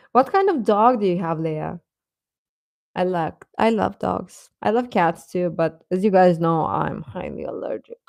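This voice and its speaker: Female livestream host: casual, playful, unhurried delivery, mid pitch, dry sound. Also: animated, creaky, darkish tone, dry recording. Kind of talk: casual chat with live viewers.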